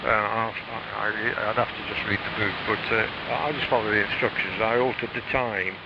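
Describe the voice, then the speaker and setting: Man, British accent, deep-ish, hesitant rambling delivery, throat-clearing, muffled shortwave transmission, casual technical chat. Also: fairly even intonation. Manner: hesitant, rambling, frequent pauses. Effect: shortwave radio transmission. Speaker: amateur radio operator. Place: home radio shack. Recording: muffled shortwave radio, loud static noise.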